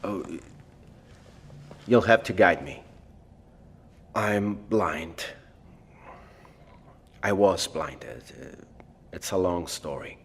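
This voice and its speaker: A man acts out a wary, nervous scientist for an audio drama. His voice sits fairly low, and he picks formal, precise words with some hesitation. Long reverb rings around him like an empty hallway. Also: drawn-out words, long pauses, husky, full character voice. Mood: anxious, subdued. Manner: hesitant, precise, educated phrasing. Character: nervous blind male scientist, formal and wary, stranded alone.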